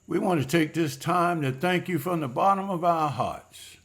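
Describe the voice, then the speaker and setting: A man's voice: fairly high pitch, normal speed, fairly bright tone. A man reading the part of William, a hopeful, respectful husband who has just landed a waiter's job, in a table read. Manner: earnest, respectful, says ma'am.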